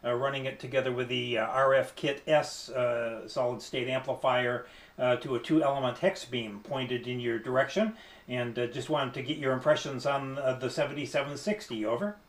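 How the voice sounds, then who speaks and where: A man talking casually as an amateur radio operator, with hesitant, halting phrasing. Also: medium pitch, steady tone. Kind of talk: casual ham radio conversation. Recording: echoey, roomy sound.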